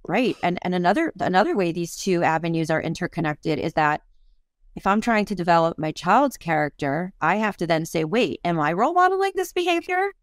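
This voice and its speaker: A woman's voice: fairly low pitch, expressive, fairly quick, no room sound, clean studio sound. A woman in her fifties, a parenting coach and author, talking earnestly and reflectively in a podcast interview, with self-deprecating honesty.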